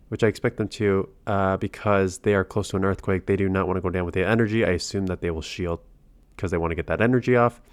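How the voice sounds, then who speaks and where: A man's voice: lowish voice, clean tone, dry recording. A man with a cold, nasal and congested, giving casual commentary on his own game match, thinking aloud and breaking off mid-sentence. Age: adult father. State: sick with a cold. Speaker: gaming channel host.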